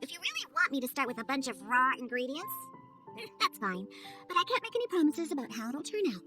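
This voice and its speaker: A woman voicing a grumpy, proud young girl in a video game, huffy and reluctant but soft-hearted. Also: high-pitched, sing-song, a little fast, music in the background. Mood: grudging. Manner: huffy, reluctant, self-important. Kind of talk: animated video game voice acting.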